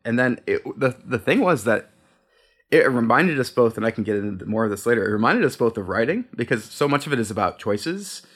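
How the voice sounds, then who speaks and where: A man's voice: medium pitch, expressive, brisk, a bit bright. A man who co-creates a podcast, answering interview questions in a chatty, rambling way. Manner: chatty, rambling, self-correcting.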